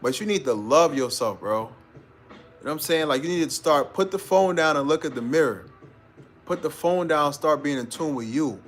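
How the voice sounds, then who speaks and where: A man's voice: a little high, lively intonation, moderate pace. Laid-back New man, motivational chat. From New York. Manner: laid-back, slangy, calls listeners bro. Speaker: live-stream host. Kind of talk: casual motivational live-stream chat.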